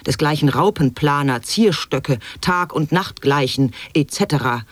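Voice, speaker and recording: A man's voice: on the high side, a little slow, dry recording. An actor playing an eccentric man who declaims absurd wordplay in staccato lists for an experimental radio drama. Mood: haranguing.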